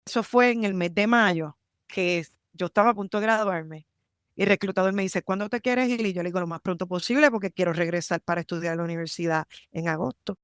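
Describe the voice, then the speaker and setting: A woman's voice: fairly low pitch, fast talker, clean tone, no room sound. Puerto rican lady telling her story, emphatic, slips english words in, clean dry sound. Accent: Puerto Rican Spanish. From Puerto Rico. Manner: animated, emphatic, mixes English terms into Spanish. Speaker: army lawyer, guest. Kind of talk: candid storytelling interview.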